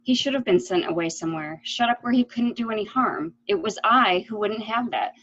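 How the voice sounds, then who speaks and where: A woman's voice: mid-range voice, brisk, fairly bright tone, close to an everyday voice. Woman acting a troubled lady, slightly muffled. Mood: regretful, troubled. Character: guilt-ridden, decisive lady of the house. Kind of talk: amateur murder mystery radio play acting.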